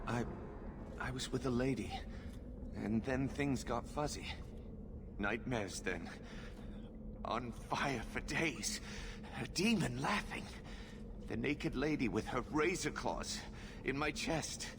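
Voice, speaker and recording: An actor playing a dazed, frightened male recruit rescued from captivity in a video game, speaking in a halting, confused way. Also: medium pitch, lively intonation. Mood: haunted confusion. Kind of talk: dramatic video game dialogue. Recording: clear background noise.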